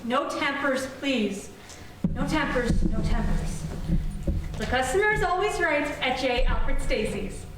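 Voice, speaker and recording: A woman's voice: sits high, expressive, measured pace. A woman playing a flustered, fussy department store floorwalker in a 1940s radio comedy, formal and placating, repeating herself. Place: live student stage performance of a radio play. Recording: echoey, distant room sound with noise.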